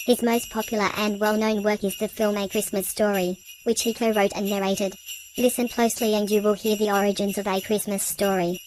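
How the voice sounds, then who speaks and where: A woman's voice: medium pitch, flat delivery, fairly quick, a little mellow, slight background noise, low-quality recording. A woman reading scripted introductions as a programme host, with bells jingling behind her. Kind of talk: scripted narration.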